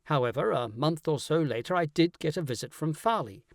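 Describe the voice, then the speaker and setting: A man reading a scripted first-person story as an audiobook narrator, in an animated, dramatic way. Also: on the high side, a little fast, no room sound, studio quality.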